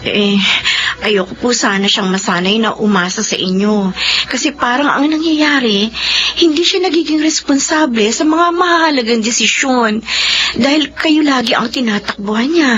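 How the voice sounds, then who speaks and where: An actress playing a high-voiced pleading wife. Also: female voice, moderate pace, a bit bright, close to an everyday voice. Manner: pleading, earnest. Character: long-suffering young wife, pleading. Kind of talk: radio drama acting. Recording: lo-fi with faint hiss.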